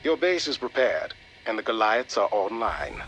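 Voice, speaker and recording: An actor playing a calm, grave military advisor who briefs in a measured, formal way in a video game cutscene. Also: male voice, fairly low pitch, faint music behind. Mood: businesslike.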